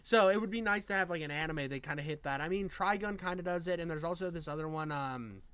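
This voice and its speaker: A man's voice: a little high, quick pace, fairly bright tone, clean tone. A man giving casual reaction commentary in an effusive, rambling, slangy way with plenty of swearing. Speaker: reaction video host.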